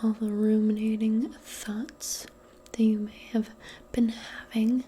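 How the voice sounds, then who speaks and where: A woman role-playing in soft-spoken ASMR, speaking gently and soothingly in a breathy, mostly whispered voice. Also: a little high, flat delivery, a little hiss or hum. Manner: gentle, soothing, unhurried, conversational. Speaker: ASMR performer role-playing a modern witch.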